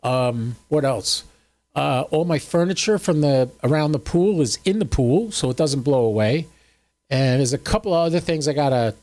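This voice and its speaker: Man in his seventies, fairly high voice, folksy, joking, rambling, casual livestream host. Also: a little mellow. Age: 70s. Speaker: host of a ham radio livestream. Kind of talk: casual livestream chat with viewers.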